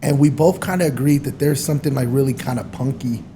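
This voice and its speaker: Mellow-voiced easygoing male host. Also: mid-range voice, quick pace, a little hiss or hum. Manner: easygoing, self-deprecating, fills with agreement. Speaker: podcast host. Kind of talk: casual interview chat.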